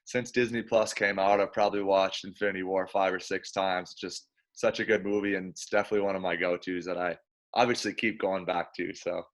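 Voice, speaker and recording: A man's voice: sits low, level tone, brisk. A man in his early twenties who speaks casually, as a guest chatting or reading a children's storybook aloud. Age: early 20s. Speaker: college basketball player, guest. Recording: dull lo-fi sound.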